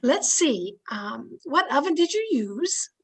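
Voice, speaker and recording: A woman's voice: high voice, sing-song, takes it slowly, exaggerated voice. A woman playing an agreeable, dithering lady friend with hesitant delivery in old-time radio comedy style. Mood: puzzled, dithering.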